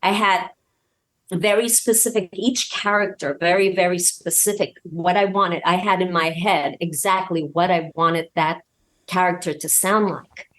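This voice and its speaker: Chatty woman with a lowish voice. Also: fairly even intonation, a little slow, fairly bright tone. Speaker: guest, audio drama podcast creator. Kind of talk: casual interview storytelling.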